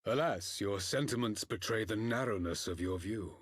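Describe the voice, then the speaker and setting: Deep, husky, imperious emperor. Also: male voice, no echo, clean studio sound. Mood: grave resolve. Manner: formal, measured, archaic grand diction. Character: solemn, imperious male emperor, cold and unhurried. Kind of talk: scripted fantasy game cutscene acting.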